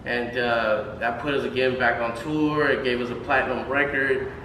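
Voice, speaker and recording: A man's voice: mid-range voice, little pitch movement, normal speed. A man in his thirties from Houston, Texas, a music producer chatting as a guest, rambling with many fillers and restarts. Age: 30s. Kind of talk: casual interview chat. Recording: roomy, distant mic, faint noise.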